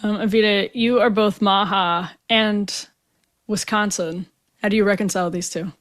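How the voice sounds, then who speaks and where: A woman's voice: mid-range voice, fairly even intonation, moderate pace. A woman hosting a political talk show, asking long, rambling questions with fillers as she thinks aloud. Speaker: podcast host. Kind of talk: conversational interview questions.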